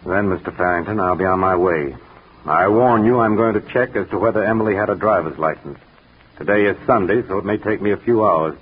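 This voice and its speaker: An actor playing a stern, dogged police detective in 1940s radio mystery style, insistent, formal and accusing. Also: male voice, low-pitched, fairly quick, slight background noise, natural voice. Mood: warning. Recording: tinny, muffled 1940s radio recording.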